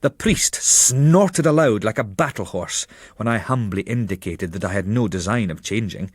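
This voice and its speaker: A man reading a literary travel memoir as narrator, in a measured, expressive storytelling manner. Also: lowish voice, breathy edge, dry recording.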